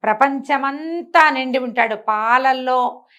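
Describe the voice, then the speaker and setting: A woman's voice: sits high, slow pace, bright, forceful tone. A woman telling a moral folk tale aloud in a conversational, explanatory way, with acted dialogue and rhetorical questions. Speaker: storyteller. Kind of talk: animated oral storytelling of a moral folk tale.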